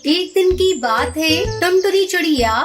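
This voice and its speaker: A woman's voice: very high-pitched, lively intonation, unhurried, music in the background. A woman narrator reading a scripted moral story for children.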